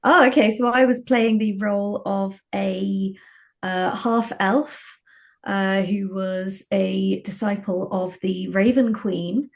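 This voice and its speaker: A woman tells anecdotes as a podcast guest in casual conversation. Her voice is of average pitch, and she talks in an animated, self-deprecating manner. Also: slow pace.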